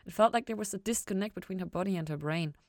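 A woman's voice: medium pitch, fast, a little mellow, no room sound, studio quality. A woman reading a story aloud as scripted narration, in the manner of an audiobook. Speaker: podfic reader and podcast host.

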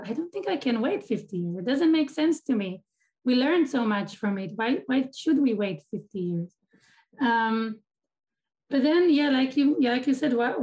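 A woman's voice: fairly high pitch, a little mellow, poor audio. A woman from Israel whose first language is Hebrew, an academic and activist giving thoughtful interview answers and often restarting her sentences.

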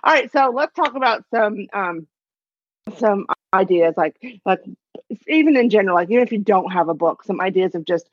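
A woman's voice: mid-range voice, expressive, normal speed, no echo, highs cut off. A woman co-hosting a podcast in casual chat, talking loosely with many "you know"s and "um"s. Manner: chatty, lots of fillers like "you know". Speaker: podcast co-host.

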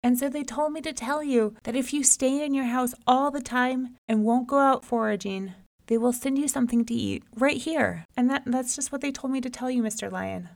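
A cocky little male rabbit from a folktale, as a woman reader puts him on. He sits up high and speaks loud, all brag and bluster, stammering when fear gets the better of him. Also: moderate pace, creaky. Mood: trembling. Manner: bragging, stammers when frightened. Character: boastful male rabbit, small, cocky but easily scared. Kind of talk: storybook folktale reading.

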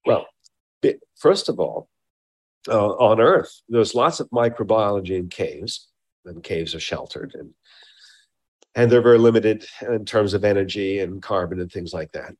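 A man speaking as an expert interviewee, explaining informally and haltingly, often repeating words and qualifying what he says. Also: on the low side, animated, darkish tone. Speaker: scientist being interviewed.

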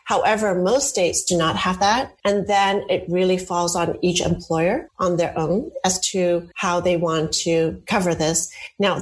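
A woman with a slightly low voice talks in long, careful explanations. She lays things out in a measured, informative way, like an expert answering an interviewer's questions. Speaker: fertility company representative.

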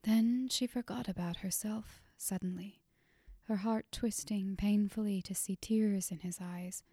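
A woman narrates an audiobook in a soft, breathy whisper. Her pace is very slow and her tone mellow. The recording is close and dry, with no room sound. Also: mid-range voice, steady tone, dry recording.

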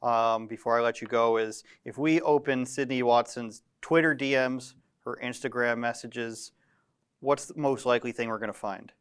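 A man's voice: mid-range voice, steady tone, moderate pace, smooth. A man hosting an interview, joking wryly and laughing often. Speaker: interview host. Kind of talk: casual interview questions.

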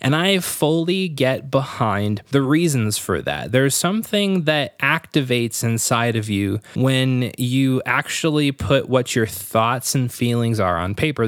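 A congested man talks into a studio microphone, hosting his own podcast in an earnest, conversational way. His pitch rises and falls with lively animation. The sound is dry and close, with no room around it. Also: dry recording. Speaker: podcast host. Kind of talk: solo podcast talk.

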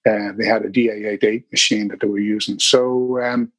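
Middle-aged Irish man, husky and dry, rambling interview storyteller. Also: lowish voice, flat delivery, normal speed, a bit bright, no room sound. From Ireland. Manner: rambling, many restarts and fillers. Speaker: bass player guest.

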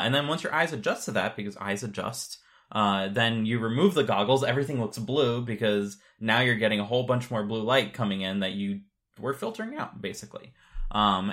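Guy w a lowish voice on a podcast, explaining stuff matter of fact, casual chat. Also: a bit bright. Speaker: podcast co-host.

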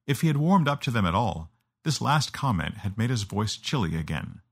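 A man's voice: fairly low pitch, big pitch swings, moderate pace, breathy edge. A man reading an audiobook as narrator, telling a scripted story.

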